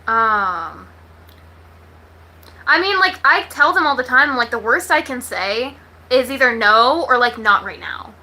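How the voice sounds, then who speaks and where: An American woman talks casually to her livestream viewers. Her voice sits fairly high and is extremely bright and sharp. She is blunt and slangy, and she swears freely as she chats. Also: big pitch swings, unhurried. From Texas, United States. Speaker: livestream host.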